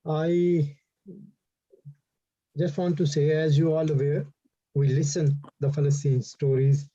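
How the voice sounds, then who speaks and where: A man speaking slowly and formally as a guest speaker over a video call. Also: fairly high pitch, flat delivery, dark tone, dry recording. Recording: dull lo-fi call audio.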